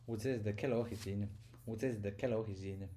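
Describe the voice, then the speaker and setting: An adult man learning French, repeating practice phrases slowly and stumbling over words as he records himself. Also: lowish voice, fairly even intonation, warm tone, a little hiss or hum. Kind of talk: self-recorded pronunciation practice.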